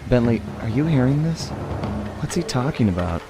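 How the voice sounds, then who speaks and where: An actor playing a cocky, heroic young thief in a video game, with a cool, confident and wry manner. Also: male voice, mid-range voice, lively intonation, moderate pace, noisy background, lightly acted. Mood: defiant. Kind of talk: video game cutscene acting.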